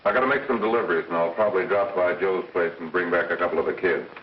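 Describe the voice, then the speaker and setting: A male actor plays an irritable, bossy hustler from a 1930s crime melodrama. His voice is low, his talk curt and slangy, and the old film sound is dull and hissy. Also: steady tone, fast talker, echoey room, lightly acted. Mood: irritated. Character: surly, irritable hustler bossing a woman around.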